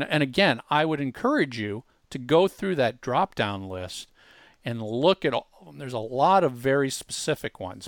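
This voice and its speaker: A man's voice: medium pitch, no echo, clean studio sound. A man presenting a casual tutorial, explaining step by step in a conversational way with emphatic asides. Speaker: presenter demonstrating a web tool.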